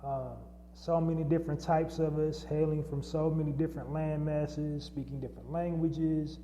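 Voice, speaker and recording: Dark-toned man hosting in a reverberant room. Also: on the high side, flat delivery, moderate pace, dull audio. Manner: unscripted, many fillers and restarts. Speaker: podcast host. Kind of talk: solo monologue podcast. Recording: long reverb and faint hum.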